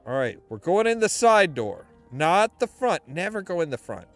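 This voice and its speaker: A man's voice: high-pitched, big pitch swings, moderate pace, a bit bright, faint music behind. A man giving casual, slangy, sweary commentary while playing a video game. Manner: profane, slangy.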